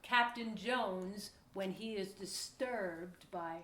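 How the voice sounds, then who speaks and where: A woman's voice: mid-range voice, slow pace, fairly bright tone. A woman reading scripted historical narration for an audio play. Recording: echoey room.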